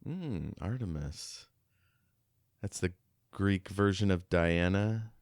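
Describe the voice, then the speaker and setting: A man's voice: sits low, animated, slow pace, darkish tone, no echo, clean studio sound. A man co-hosting a podcast, talking through a book in a casual, reflective, conversational way. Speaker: podcast co-host.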